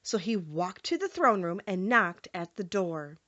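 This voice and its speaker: A woman narrating a children's story from a script in an expressive storytelling manner. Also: medium pitch, unhurried, no room sound, lo-fi sound. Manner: expressive, clearly paced storytelling. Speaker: audiobook narrator.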